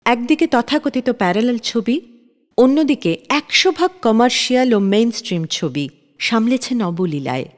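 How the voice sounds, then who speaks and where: A woman's voice: on the high side, animated, moderate pace, long reverb tail. A woman hosting a radio show, reading scripted narration.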